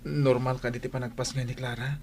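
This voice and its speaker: A man's voice: medium pitch, flat delivery, fairly quick, a little mellow, lightly acted. An actor playing an anxious, troubled young father in an Ilocano audio drama. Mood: longing. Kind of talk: Ilocano radio-style audio drama acting.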